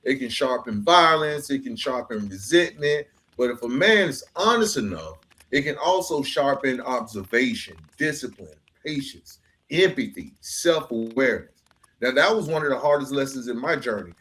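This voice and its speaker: A man's voice: mid-range voice, expressive, normal speed, a bit bright. Reflective male podcast host. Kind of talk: reflective long-form interview.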